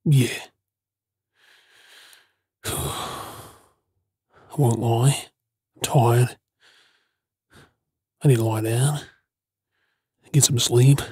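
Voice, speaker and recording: An Australian man playing a gruff but caring zombie apocalypse survivor in a breathy, often whispered ASMR role-play. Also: medium pitch, fairly even intonation, extremely slow, pauses often, dark tone, dry recording, exaggerated voice. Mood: tired, reassuring.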